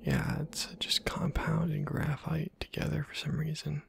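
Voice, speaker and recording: A man giving ASMR gameplay commentary in a soft half-whisper, musing casually to himself in a hushed way. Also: on the high side, completely flat delivery, a little slow, gravelly. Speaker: video game streamer.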